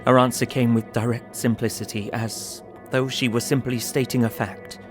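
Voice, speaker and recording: An actor playing a grave, troubled young husband, reading out his diary in a measured way over music. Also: medium pitch, little pitch movement, close to an everyday voice. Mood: pained, hushed. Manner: sombre, measured. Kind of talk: audio drama diary narration. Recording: music under the voice.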